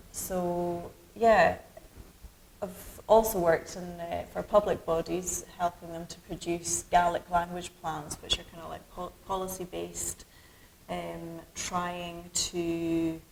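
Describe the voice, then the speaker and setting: A middle-aged Scottish woman, a film director, answering interview questions thoughtfully with many pauses and restarts. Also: on the low side, vocal fry, a little mellow, a little hiss or hum, poor audio. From Scotland. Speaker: documentary director.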